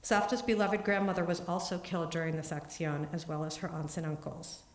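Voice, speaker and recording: A woman giving an earnest, steady talk in a synagogue, then answering questions, with small restarts. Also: on the low side, a little fast. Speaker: congregant giving a family history talk. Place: synagogue sanctuary. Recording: echoey room.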